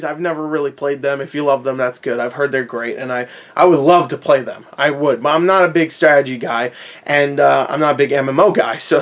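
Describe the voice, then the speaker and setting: Higher-pitched man on a casual movie rant. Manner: conversational and opinionated, with emphatic repetition. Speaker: YouTube movie reviewer and host. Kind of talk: casual, unscripted opinion rant about movies.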